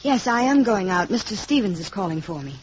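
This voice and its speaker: A woman acting a protective mother in a 1950s radio drama, courteous and gently firm, with a mid-pitched voice. The old recording is dull and carries faint noise. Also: lively intonation, fairly quick, lightly acted. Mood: polite. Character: polite, protective young mother living in a boarding house.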